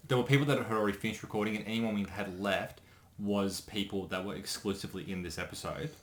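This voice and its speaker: A man co-hosting a commentary with a lowish voice. He chats freely and keeps backtracking to correct himself mid-thought, with faint background noise. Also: fast talker. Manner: chatty, often correcting himself mid-sentence. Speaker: co-host and voice actor. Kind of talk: casual commentary chat.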